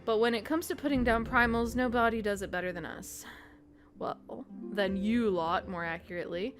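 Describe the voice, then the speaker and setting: Casting: woman voicing talkative, proud game sidekick, clear music in the background. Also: on the high side, fairly even intonation, normal speed, lightly acted. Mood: chatty, proud. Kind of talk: reading game dialogue aloud.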